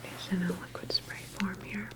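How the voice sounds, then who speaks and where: A woman whispering softly and breathily in an ASMR medical roleplay as a calm, reassuring doctor examining a patient. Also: mid-range voice. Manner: gentle, unhurried, reassuring bedside manner. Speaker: ASMR performer playing a government facility doctor.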